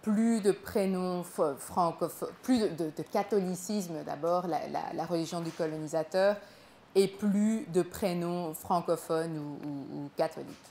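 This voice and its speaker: A young woman who grew up in Belgium, telling her own story to a small audience in a gallery room, halting and repeating words as she goes. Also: on the low side, warm tone, echoey room, slight background noise. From Congo, raised in Belgium. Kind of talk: live spoken performance.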